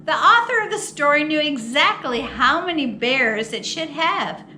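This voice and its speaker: A woman reading a children's picture book aloud as a storytime host, expressive and theatrical with drawn-out words. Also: high-pitched, a little hiss or hum. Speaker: storytime host at a public library.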